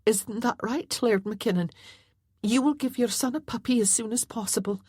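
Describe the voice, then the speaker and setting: A woman voicing a tender young mother who speaks softly and earnestly, sometimes in a whisper. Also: fairly high pitch, moderate pace, husky, no echo, studio quality, pitched above the performer's own voice. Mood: firm. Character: tender young Scottish mother, loving but firm.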